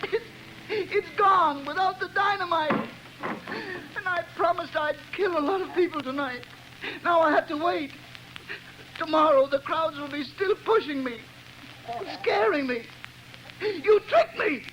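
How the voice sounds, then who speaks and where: A man's voice: extremely high pitch, a little slow, pauses often, fairly bright tone, poor audio. A man playing an unhinged bomber who stammers, giggles and talks like a child, in old-time radio drama style. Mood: whining. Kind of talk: 1930s radio drama acting. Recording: old radio recording with noise.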